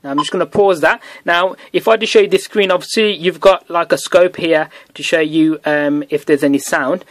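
A man hosting a casual phone tutorial, relaxed and chatty with many fillers. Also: on the high side, normal speed, no room sound. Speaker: tech video host. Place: indoors at a desk.

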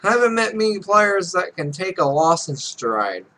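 A man's voice: high voice, big pitch swings, moderate pace, pushed and bright. A man in his twenties casually commentating a game and reading its text aloud, with rambling, offhand asides. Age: 20s. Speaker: let's play host. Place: small home room, indoors. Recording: noisy home recording.

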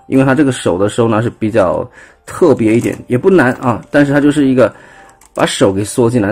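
A man's voice: mid-range voice, vocal fry, darkish tone, breathy edge. A man with a Taiwanese Mandarin accent, casually explaining a toy transformation step by step with many fillers, recorded on a phone at a table indoors. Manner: explanatory, filler-heavy, pointing out parts step by step. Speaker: toy reviewer. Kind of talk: casual hands-on toy demonstration.

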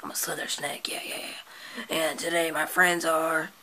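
A performer voicing a laid-back, boastful male snake who talks in breathy whispers and a drawn-out manner. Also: mid-range voice, level tone, pushed and bright. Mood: chill.

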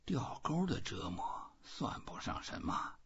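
A man voicing a weary, stubborn old fisherman in an audiobook, talking to himself slowly and half under his breath. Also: mid-range voice, sing-song, fairly bright tone, husky, highs cut off, pitched above the performer's own voice, full character voice. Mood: weary, musing.

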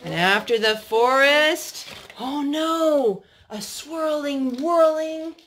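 Mom doing storytime w her little kid, high voice, takes it slow, sing-songy and encouraging. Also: a little hiss or hum. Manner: sing-song, expressive, encouraging a small child. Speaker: mother hosting a children's storytime. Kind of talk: child-directed storytelling and chat. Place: carpeted room and wood-floored room at home.

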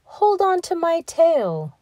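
A woman voicing a sly male fox from a children's storybook, speaking in a slow, coaxing way. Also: extremely high pitch, wildly swooping pitch, warm tone, no echo, pitched above the performer's own voice, heavily acted. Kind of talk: children's storybook read-aloud.

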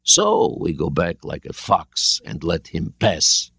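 A man voicing a stern older male Soviet submarine captain who reasons out his plan calmly and deliberately. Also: fairly low pitch, slightly rough, dry recording, full character voice. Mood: calm, calculating. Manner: calm, deliberate, reasoning aloud.

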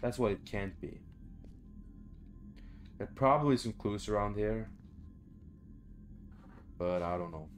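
A man's voice: on the low side, takes it slowly, pauses often, faint music behind. A young man doing casual horror game commentary, muttering to himself in short phrases. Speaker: gamer, let's play host. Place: small room at home.

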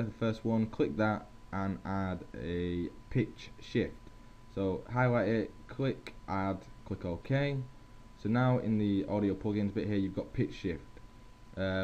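A young man casually talks viewers through a software tutorial. His voice is lowish and very mellow. It is recorded on a muffled headset mic with faint hiss. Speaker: video tutorial host. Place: at a computer. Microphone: gaming headset.

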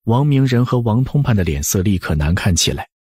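A man narrates an audiobook in a lowish, husky voice. His delivery is scripted and dramatic, with lively swings in pitch. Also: fairly quick, no echo, studio quality.

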